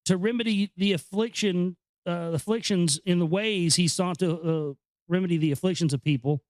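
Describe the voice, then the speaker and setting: Higher-voiced man reading and commenting, dry sound. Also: darkish tone, no echo, rough recording. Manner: conversational, halting, explanatory. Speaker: host reading a book aloud with commentary. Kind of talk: informal reading with off-the-cuff commentary.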